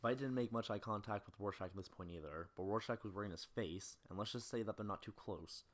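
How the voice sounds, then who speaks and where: A man's voice: lowish voice, a little fast. A man giving scripted film commentary as a video essayist, in a critical, opinionated manner.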